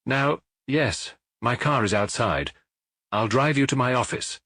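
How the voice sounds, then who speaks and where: A weary, earnest police chief acted out by a male narrator in a detective audiobook. His voice is slightly husky and moves with lively pitch, troubled and serious, recorded very dry and close. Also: unhurried, no room sound. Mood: formal. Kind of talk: audiobook character dialogue.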